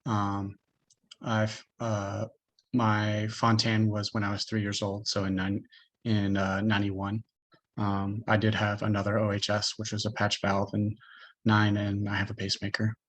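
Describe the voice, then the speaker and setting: A man in his early thirties telling his own experiences casually and haltingly as a panelist. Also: lowish voice, monotone, fairly bright tone. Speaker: patient panelist. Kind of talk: casual storytelling on an online panel.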